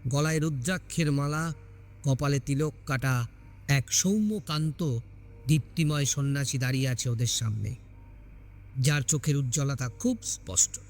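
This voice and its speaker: A man's voice: medium pitch, normal speed, long pauses, a little mellow. A man reading a scripted story aloud as a dramatic storyteller.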